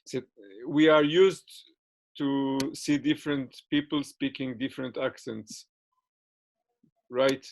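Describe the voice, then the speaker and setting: Hesitant man with a gravelly voice. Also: medium pitch, steady tone, slow pace, pauses often, a little mellow, rough recording. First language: not English. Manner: hesitant, many fillers and restarts. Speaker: interviewee. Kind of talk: casual interview answers.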